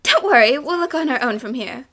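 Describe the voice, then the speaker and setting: Woman playing a high-pitched, perky young girl detective. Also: lively intonation, brisk, fairly bright tone, full character voice. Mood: inquisitive. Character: eager, food-loving young female detective. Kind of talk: fan dub game voice acting.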